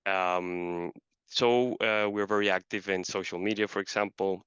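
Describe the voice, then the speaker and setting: A man's voice: deep voice, steady tone, a little slow, a bit bright, clear, resonant tone, dry recording, highs cut off. A man from Colombia whose first language is Spanish, answering interview questions in a hesitant way with many fillers and restarts. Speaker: guest, marketing manager.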